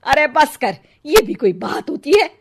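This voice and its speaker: Lady doing a cranky older indian saas, kinda high voice, scolding and sarcastic, cartoon story style. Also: very animated, measured pace, full character voice. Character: cranky, sharp-tongued older Indian mother-in-law. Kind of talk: Hindi cartoon story dialogue.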